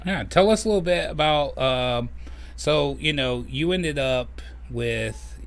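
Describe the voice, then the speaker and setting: A man hosting a podcast, asking questions in a relaxed, casual way. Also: fairly high pitch, slow. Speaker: podcast host. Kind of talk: casual interview chat.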